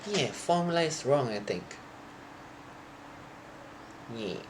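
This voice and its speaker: A young man, a native Thai speaker, chatting loosely to his live stream viewers, often halting and restarting as he searches for words. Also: mid-range voice, expressive, background noise. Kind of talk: casual stream chat to viewers.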